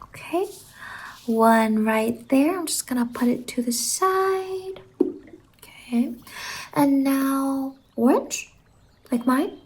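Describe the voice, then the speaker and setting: A woman playing a whimsical, reassuring salon stylist in an ASMR roleplay, speaking softly and gently on a close microphone and often slipping into whispers. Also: sits high, animated. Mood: soft, encouraging. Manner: gentle, reassuring, drawn-out words. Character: whimsical, reassuring female fever-dream hair salon stylist.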